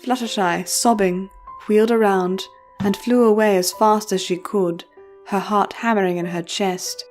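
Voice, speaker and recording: A woman's voice: medium pitch, steady tone, measured pace, darkish tone, soft background music. A woman narrating a story in a dramatic, scripted reading style.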